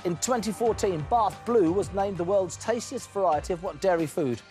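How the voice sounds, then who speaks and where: A man hosting a television quiz show, brisk and teasing with jokes between questions. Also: high-pitched, darkish tone, soft background music.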